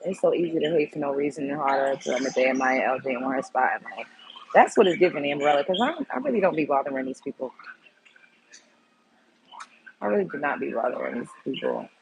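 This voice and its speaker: A woman's voice: rumbling low, lively intonation, a little fast, low-quality recording. A woman in her thirties from Brooklyn with a New York accent, chatting casually with her livestream viewers in a slangy, laughing way. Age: 30s. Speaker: livestream host.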